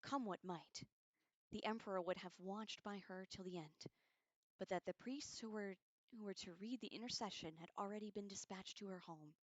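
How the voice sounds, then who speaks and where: A woman hosting a casual livestream read-along, expressive and informal, reading aloud and adding chatty, slangy asides. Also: medium pitch, moderate pace, dry recording, muffled recording. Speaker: livestream host reading a book aloud with commentary.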